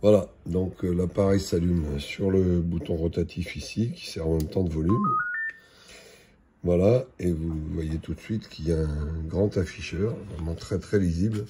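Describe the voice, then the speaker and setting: Very deep-voiced man, halting product demo. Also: background noise. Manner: hesitant, halting, matter-of-fact. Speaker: product presenter. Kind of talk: unscripted product demonstration.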